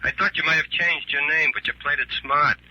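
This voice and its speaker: Sharp-edged, menacing telephone caller. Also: male voice, a little high, steady tone, low-quality recording. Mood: bitter, accusing. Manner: taunting, mock-polite, deliberate. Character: menacing avenging caller, a grimly taunting man. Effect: telephone voice filter. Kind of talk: 1940s radio mystery acting. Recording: old 1940s radio recording.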